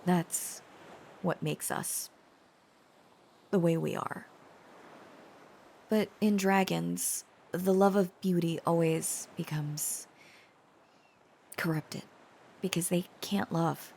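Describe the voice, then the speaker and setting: Woman voicing a devoted dragon-girl lover, unhurried, creaky voice, earnest, halting, intimate romance roleplay, faint background noise. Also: on the low side, fairly even intonation, darkish tone. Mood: earnest, confessional. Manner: halting, earnest, breathy whispering at tender moments. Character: tender, protective dragon-blooded girlfriend confessing devoted love. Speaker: voice actor.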